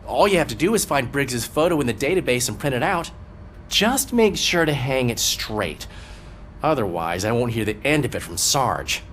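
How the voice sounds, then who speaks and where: An actor playing a weary, soft-hearted male police officer in a video game, hesitant and apologetic. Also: mid-range voice, sing-song, a little hiss or hum. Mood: flustered. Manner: hesitant, halting.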